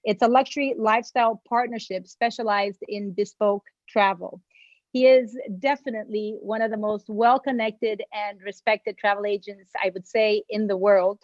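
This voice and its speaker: A woman's voice: mid-range voice, fairly even intonation, moderate pace, no echo. A woman who grew up in Mexico, moderating and opening a virtual panel with effusive, gracious thanks to her guests. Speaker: panel moderator and agency founder. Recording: dry, slightly dull video call audio.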